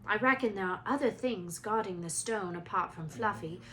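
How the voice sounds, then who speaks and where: A woman's voice: medium pitch, normal speed, fairly bright tone, close to an everyday voice, low-quality recording. A woman voicing an earnest young schoolboy hero in a breathless, urgent manner for a storybook.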